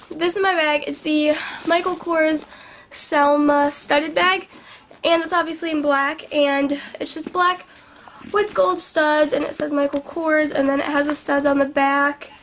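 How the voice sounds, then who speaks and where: Young woman vlogger, high-pitched, sick and sniffly, chatty and rambling, bedroom camera mic with faint noise. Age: young adult. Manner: bubbly, rambling, lots of "like". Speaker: beauty vlogger. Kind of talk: casual chatty vlog. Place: small bedroom indoors. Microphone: camera in front of her at arm's length.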